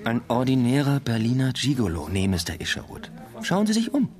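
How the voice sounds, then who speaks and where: A man's voice: medium pitch, huge pitch swings, a little fast, a little mellow. A man playing a worldly, cynical Berlin bartender in a German radio drama, chatting in a knowing, conspiratorial way. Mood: knowing, gossipy. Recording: music playing behind.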